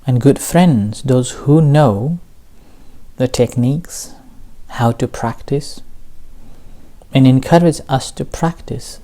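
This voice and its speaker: Very slow, mellow male meditation teacher. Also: medium pitch. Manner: slow, gentle, with long pauses between short phrases. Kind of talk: guided meditation dharma talk.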